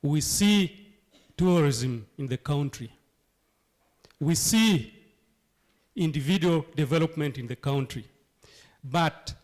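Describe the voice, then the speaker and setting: A hoarse man from Uganda, sick with a cold, speaking emphatically at a town hall meeting and asking rhetorical questions. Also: fairly high pitch. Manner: emphatic, rhetorical questions, repeats key phrases. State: hoarse from flu and cough. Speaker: local leader addressing a town hall.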